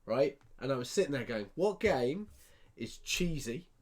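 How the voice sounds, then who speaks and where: A man's voice: medium pitch, lively intonation, measured pace. A man co-hosting a podcast, giving blunt, emphatic opinions in casual, sweary chat. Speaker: podcast co-host.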